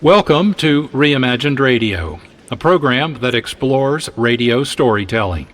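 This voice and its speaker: Slow, measured male radio host. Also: medium pitch, lively intonation, a bit bright. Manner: measured, deliberate phrasing. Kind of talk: scripted introduction to a radio program.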